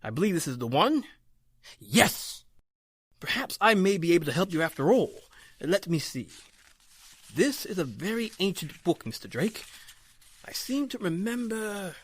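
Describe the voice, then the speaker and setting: An actor playing an elderly scholarly doctor of the occult in old-time radio horror style, measured and musing, muttering hesitantly. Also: male voice, high voice, very animated, husky, no room sound, exaggerated voice. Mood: musing, curious.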